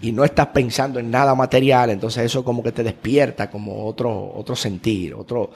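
A man's voice: medium pitch, steady tone, a little fast, gravelly, husky. A young adult man with a Dominican accent, telling stories conversationally as an interview guest. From Dominican Republic. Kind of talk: casual conversational storytelling.

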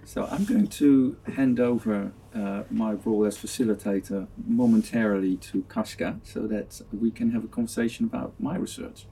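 A man who is a professor of communication, hosting an academic meeting and then explaining his research in a measured way, often repeating words as he restarts. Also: fairly low pitch, vocal fry, dark tone, slight background noise, rough recording. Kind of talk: casual academic online meeting.

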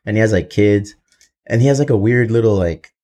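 A man's voice: fairly low pitch, brisk, mellow. Profane, joking podcast host man. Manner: profane, joking, slips into Spanish. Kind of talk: casual podcast banter.

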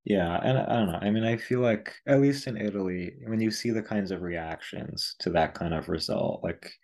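A man's voice: on the low side, moderate pace, a little mellow. A man hosting an interview, asking questions in a searching way with many fillers. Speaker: interviewer, host.

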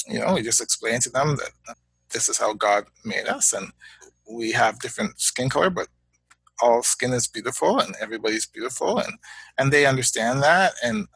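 A Canadian man and father of young children, talking as a guest in a reflective interview, measured and halting, often repeating words as he thinks. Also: medium pitch, gravelly, a bit bright, slightly rough, no room sound, poor audio.

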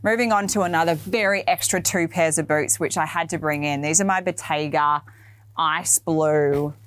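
A woman's voice: low-pitched, moderate pace, fairly bright tone. A young Australian woman hosting a fashion podcast, chatting casually and enthusiastically about her things, with plenty of fillers. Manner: chatty, enthusiastic, lots of likes and ums. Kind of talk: casual product walkthrough.

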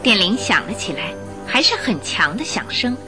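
A woman's voice: medium pitch, big pitch swings, moderate pace, over music. A woman narrating a radio drama from a script in a dramatic storytelling style.

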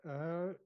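Hesitant man, muffled lo-fi recording. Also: fairly high pitch. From Rwanda. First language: Kinyarwanda. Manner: hesitant, many restarts, laughs often. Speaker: guest. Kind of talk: casual interview. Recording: dull lo-fi sound.

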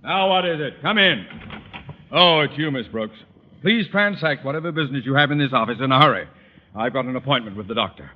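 An actor playing an irritable, pompous middle-aged school principal in an old-time radio comedy, impatient and quick to bluster. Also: male voice, mid-range voice, expressive, close to an everyday voice. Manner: pompous, impatient, exploding into outrage. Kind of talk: 1940s-50s radio comedy acting. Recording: muffled, very noisy old radio recording.

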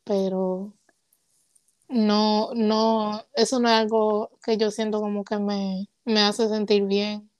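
A woman's voice: medium pitch, takes it slowly, no room sound, lo-fi sound. Young woman, dry close sound. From Puerto Rico. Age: young adult. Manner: relaxed, hesitant, mixes English words into her Spanish. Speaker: guest. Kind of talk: casual conversation.

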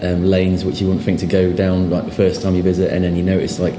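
A man's voice: low-pitched, quick pace. A young Englishman with a southern English accent, chatting casually as a guest in a bar interview. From England. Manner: relaxed, chatty, repeats words as he talks. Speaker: guest sign writer. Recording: echoey bar with loud noise.

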